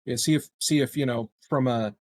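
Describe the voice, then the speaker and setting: A man's voice: mid-range voice, normal speed. A man playing a tabletop roleplaying game, talking casually and tentatively as he thinks out his next move aloud.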